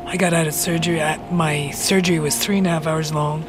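Woman interviewee over loud music. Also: deep voice, steady tone, a little fast. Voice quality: imperfect voice recovering after surgery. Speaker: interviewee, teacher. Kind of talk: casual interview chat.

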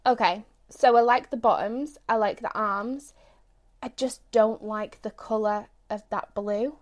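A woman's voice: fairly high pitch, fairly even intonation, measured pace. A woman giving easygoing, plain-spoken opinions as a guest on a casual podcast. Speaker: guest reviewer. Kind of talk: casual chat.

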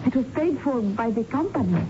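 A woman's voice: fairly high pitch, expressive, a little fast, lightly acted. A woman playing a flustered, forgetful widow in a radio drama, polite but vague. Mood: vague, evasive. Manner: flustered, vague, formal foreign phrasing. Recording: dull, noisy 1970s radio broadcast recording.

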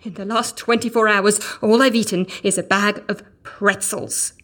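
A woman's voice: mid-range voice, fairly even intonation, moderate pace, fairly bright tone, close to an everyday voice. An actress playing a weary, exasperated woman agent with a dry, emphatic delivery in an audio drama. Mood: weary, indignant.

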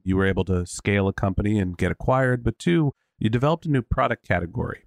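A man hosting a podcast interview in a loose, chatty way, his voice a bit lower than usual. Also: vocal fry, no room sound, studio quality. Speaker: podcast host.

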